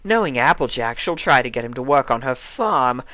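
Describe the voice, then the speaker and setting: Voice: medium pitch, expressive, normal speed, pushed and bright, no room sound, voice pushed up. A performer voicing a refined, fretful, haughty female cartoon unicorn in a prim, dramatic, affected manner. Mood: suspicious, determined.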